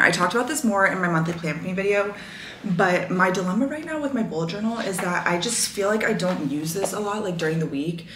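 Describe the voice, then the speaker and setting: A young woman in her twenties chatting casually to camera for her lifestyle vlog, with lots of fillers, recorded on a camera mic in her apartment. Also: lowish voice. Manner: chatty, many fillers, enthusiastic about stationery. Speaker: lifestyle vlogger. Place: apartment living room, indoors. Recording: echoey room with faint noise.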